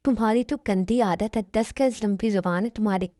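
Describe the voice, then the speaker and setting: A woman voicing a brisk mother who scolds her daughter and orders her to help. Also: medium pitch, dark tone, no room sound, clean studio sound, natural voice. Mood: scolding. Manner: scolding, bossy.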